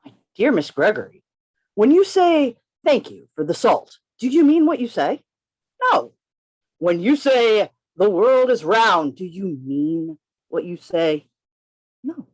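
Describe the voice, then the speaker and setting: Man acting a florid gentleman poet, lively pitch. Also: takes it slowly, full character voice. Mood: playfully argumentative. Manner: florid, formal, theatrical. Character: witty, chivalrous gentleman poet narrating his own adventure. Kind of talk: radio play reading, literary first-person narration. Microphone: video call. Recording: video call reading with music behind.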